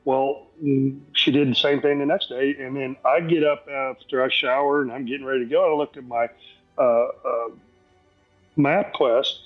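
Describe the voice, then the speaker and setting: A man's voice: medium pitch, steady tone, normal speed. A man guest telling stories casually in a folksy, rambling, self-deprecating way. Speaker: podcast guest. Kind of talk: casual anecdotal storytelling. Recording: dull lo-fi sound, faint music.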